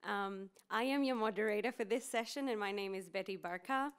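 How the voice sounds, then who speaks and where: A woman's voice: medium pitch, fairly quick, clean tone. A woman from Fiji in her thirties moderating a conference session from a podium, with frequent ums and small restarts. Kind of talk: conference panel introduction. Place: conference room with seated audience. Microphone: podium mic.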